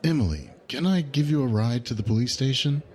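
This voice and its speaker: An actor playing a cautious, protective male ally in an audio drama, with a gentle, measured and concerned manner. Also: mid-range voice, animated, natural voice.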